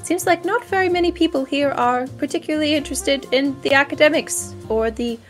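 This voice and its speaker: A woman's voice: high voice, fairly quick, soft background music, pitched above the performer's own voice, natural voice. A woman voicing a polite, nervous, chatty male scholar of arcane languages, stammering eagerly in a tabletop game. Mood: warm, earnest.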